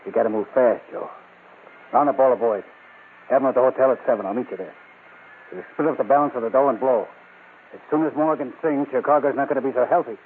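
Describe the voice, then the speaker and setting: An actor playing a shifty, cornered crime boss in an old radio drama. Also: male voice, medium pitch, quick pace, pauses often, natural voice. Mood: urgent, bossy. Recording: tinny old radio recording, light noise.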